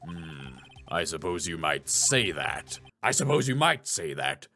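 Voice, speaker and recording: A man's voice: deep voice, big pitch swings, measured pace, bright, forceful tone, soft background music, pitched below the performer's own voice, full character voice. A man voicing a solemn old courtroom judge in a video game, formal and ponderous. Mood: pondering.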